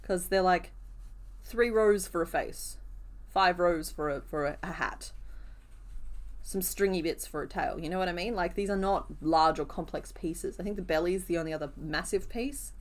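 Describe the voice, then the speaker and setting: Hoarse-voiced woman chatting on a crochet stream. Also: lowish voice, moderate pace. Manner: chatty, answers viewers' comments as she works. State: rough, hoarse voice. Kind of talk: casual live-stream chat while crocheting.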